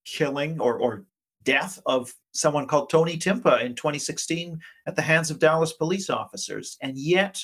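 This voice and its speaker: A man with a slightly high voice speaks as an academic guest in an interview. He explains his points analytically, in a measured way, reaching for examples and studies. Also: a bit bright, husky. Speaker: academic guest, politics professor.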